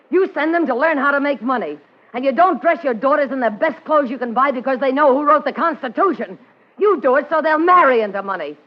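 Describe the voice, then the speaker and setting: Actress as brassy, high-voiced underworld dame, old radio. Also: female voice, lightly acted. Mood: defiant. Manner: brassy, defiant, slangy. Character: brazen, sharp-tongued, scheming woman of the underworld. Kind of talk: old-time radio crime drama acting and narration. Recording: dull lo-fi old radio recording with music.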